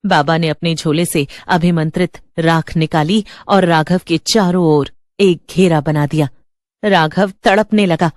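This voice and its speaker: A woman's voice: sits low, fairly even intonation, a little slow, no echo, clean studio sound. A woman narrator reading a scripted horror story in a dramatic storytelling style.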